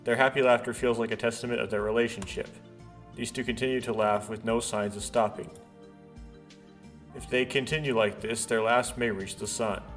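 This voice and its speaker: A man doing a casual let's play, haltingly thinking aloud and reading visual novel text out. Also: mid-range voice, steady tone. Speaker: let's player. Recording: background music behind voice. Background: game music.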